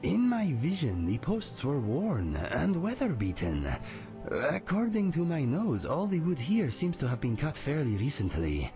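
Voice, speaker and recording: A man's voice: fairly high pitch, huge pitch swings, measured pace, a little mellow, soft background music, exaggerated voice. An actor playing a male cat-person companion, earnest and following scents. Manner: earnest, distracted, sniffing out trails.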